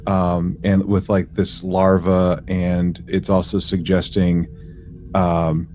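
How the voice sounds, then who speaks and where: A man co-hosting a podcast, giving a casual recap in a halting way, piecing his phrases together. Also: deep voice, level tone, dark tone, faint music behind. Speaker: podcast co-host.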